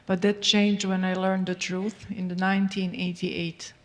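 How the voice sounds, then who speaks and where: Calm woman from Serbia, roomy reverb. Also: on the low side, a little slow. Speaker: interviewee. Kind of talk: formal interview testimony.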